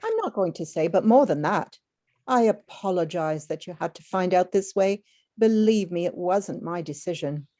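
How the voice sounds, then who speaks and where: Woman reading as a reserved apologetic exec guy, soft mellow tone, amateur table read. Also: lowish voice, lively intonation, moderate pace, close to an everyday voice. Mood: regretful.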